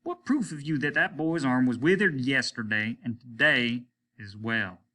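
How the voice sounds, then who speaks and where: A man reading a story, voicing a domineering, scornful spaceship captain in a loud, commanding, condescending manner. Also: medium pitch, expressive, unhurried. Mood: scornful, impatient. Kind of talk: dramatic audiobook reading.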